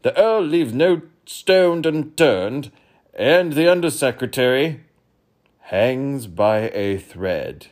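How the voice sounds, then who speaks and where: A man voicing a huge, irritable old king who booms out slow, commanding orders. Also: animated, a little mellow. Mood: imperious.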